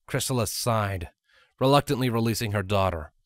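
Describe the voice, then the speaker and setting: A man's voice: on the low side, moderate pace, no room sound, clean studio sound. A man reading scripted story narration as an audiobook narrator.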